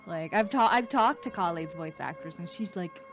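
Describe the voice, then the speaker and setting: Irish woman, voice actress guest, excitable chatty delivery; dull lo-fi stream sound with clear music playing under her. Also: on the low side, moderate pace. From Ireland. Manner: gushing, excitable, lots of "like". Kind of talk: casual podcast-style chat. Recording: lo-fi stream audio with loud music behind.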